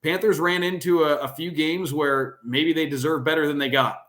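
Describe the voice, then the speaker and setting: A man's voice: fairly high pitch, fairly even intonation, moderate pace, fairly bright tone. A man who works as a play-by-play broadcaster, giving fluent, emphatic sports analysis as an interview guest and building points through repetition.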